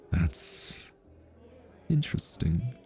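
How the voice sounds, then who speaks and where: A man's voice: sits low, sing-song, extremely slow, exaggerated voice. A male voice actor playing an easily flustered, teasing hitman who is smitten, in an ASMR roleplay. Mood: suave, teasing. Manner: teasing, stammers and backtracks when flustered.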